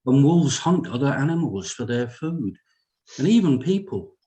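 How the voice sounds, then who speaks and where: Man playing a gentle, reassuring doctor father. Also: mid-range voice, big pitch swings, normal speed, natural voice, rough recording. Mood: protective, calm. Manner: soothing, reassuring. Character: gentle, intelligent doctor father soothing his small daughter. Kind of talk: dramatic script table read.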